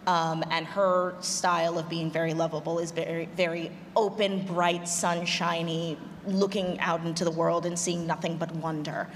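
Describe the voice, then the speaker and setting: A woman's voice: fairly low pitch, flat delivery, normal speed, hardly any pauses. A woman explaining her writing craft as a panelist at a conference. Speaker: panelist, audio drama writer. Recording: reverberant conference hall, noisy.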